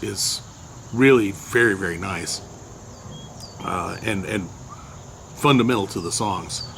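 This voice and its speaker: An older man with a loud voice casually recounting a memory, unhurried and rambling with many fillers. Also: lowish voice, slight background noise. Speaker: storyteller recounting a personal memory. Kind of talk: casual spoken recollection.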